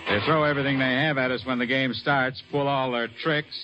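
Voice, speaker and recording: An American man playing a steady, thoughtful army captain in an old-time radio drama, courteous and measured in manner. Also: mid-range voice, dull audio, loud music behind the voice, lightly acted. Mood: earnest, resolved.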